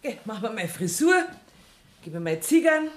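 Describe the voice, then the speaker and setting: A woman's voice: fairly high pitch, sing-song, moderate pace, noisy background. An actress playing a brash, scheming woman in a radio play, speaking brusquely in Bavarian dialect. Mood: bossy, scornful. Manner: brusque, bossy. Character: brash, scheming, cash-strapped woman, bossy and defiant.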